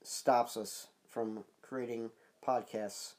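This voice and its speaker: A man's voice: mid-range voice, fairly even intonation, takes it slowly, darkish tone. Stuffed-up man hosting a casual podcast. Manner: rambling, loose, many fillers and restarts. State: stuffed-up nose, nasal. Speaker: podcast co-host.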